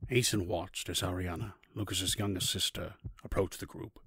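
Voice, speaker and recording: Deep, gravelly male storyteller. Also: fairly even intonation, normal speed, fairly bright tone, no echo. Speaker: narrator. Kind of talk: scripted fantasy story narration.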